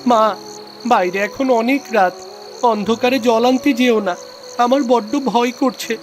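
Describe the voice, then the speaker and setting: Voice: mid-range voice, measured pace, faint music behind, lightly acted. An actor voicing a frightened, ailing little boy in a cartoon, plaintive, pleading and in distress. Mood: fearful, plaintive. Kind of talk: melodramatic cartoon dubbing.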